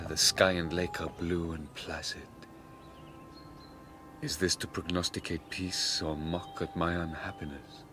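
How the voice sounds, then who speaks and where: A man's voice: low voice, exaggerated voice. A man playing an anguished, guilt-ridden young scientist, theatrical and impassioned, in a dramatised audiobook. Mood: grieving. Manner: melodramatic, impassioned, archaic phrasing.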